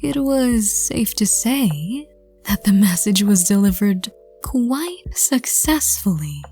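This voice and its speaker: A woman reading scripted second-person story narration in a soft, gentle, hushed voice. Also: mid-range voice, animated, takes it slowly, faint music behind. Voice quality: soft, breathy. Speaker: narrator and audio roleplay reader.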